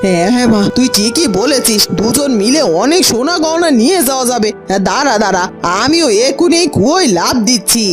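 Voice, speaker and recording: Woman acting a greedy, high-pitched bullying cartoon aunt. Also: expressive, fast talker, over music. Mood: eager, greedy. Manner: exclamatory, wheedling and threatening. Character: greedy, bullying middle-aged village aunt. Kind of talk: children's fairy-tale cartoon dubbing.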